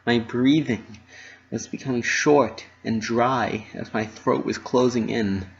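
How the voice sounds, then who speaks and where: Male story narrator, emotive and earnest, creaky mid-range voice, dull lo-fi recording. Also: medium pitch. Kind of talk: dramatic reading of fan fiction.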